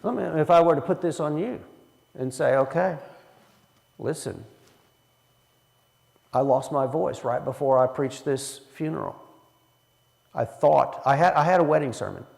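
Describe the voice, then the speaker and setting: A man's voice: fairly high pitch, measured pace, gravelly, long pauses, a little mellow, long reverb tail. A man leading a church Bible study in a folksy way, asking the room questions as he teaches. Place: church hall.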